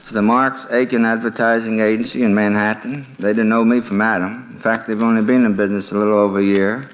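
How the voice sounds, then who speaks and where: A middle-aged American man speaking earnestly and haltingly as a contestant on a 1950s radio show. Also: on the low side. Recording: dull old radio recording.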